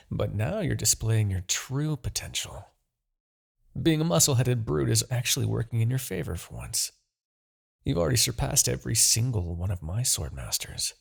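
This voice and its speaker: A man playing a smug, menacing demon king, obsessed with his rival, in a theatrical, deliberate fantasy roleplay monologue with a husky, gravelly voice. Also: fairly low pitch, heavily acted. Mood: smug, approving. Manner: theatrical, gloating, deliberate, grandiose.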